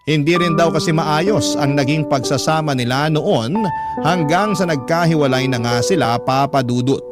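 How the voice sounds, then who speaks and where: A man with a Filipino accent reading a letter as dramatic first-person radio narration, earnest and confiding. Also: mid-range voice. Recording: music bed under the voice. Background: background music.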